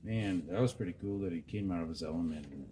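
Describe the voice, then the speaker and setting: A man's voice: sits low, fast talker. A man hosting a casual podcast, reading out listener responses, swearing freely and stumbling over names. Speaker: podcast host. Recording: noisy background.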